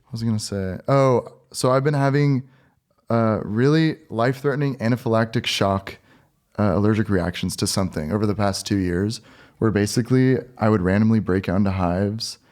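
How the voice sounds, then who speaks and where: A man with a fairly low voice talks in loose, casual podcast banter. He jokes in a deadpan way, exaggerating as he goes. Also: brisk. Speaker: podcast co-host and editor.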